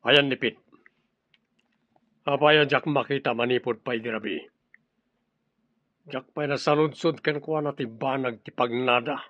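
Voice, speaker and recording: A man's voice: medium pitch, steady tone, a little slow, long pauses, dry recording, close to an everyday voice. A man playing a hot-tempered, threatening crime boss in an Ilocano-accented radio drama, barking out commands. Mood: menacing. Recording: dull, lo-fi radio drama audio.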